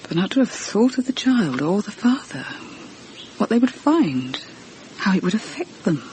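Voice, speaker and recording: A woman's voice: mid-range voice, big pitch swings, moderate pace, a little mellow, slight background noise, natural voice, low-quality recording. A woman playing a concerned, inquisitive lady in a vintage radio horror drama, speaking in an earnest, probing way. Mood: shocked, troubled. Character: concerned, inquisitive lady questioning her housekeeper.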